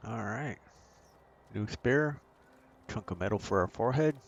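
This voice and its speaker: An actor playing a blunt, wry companion in a video game, with deadpan, teasing delivery. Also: male voice, fairly low pitch, measured pace, lo-fi sound, a little hiss or hum. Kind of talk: dramatic video game dialogue.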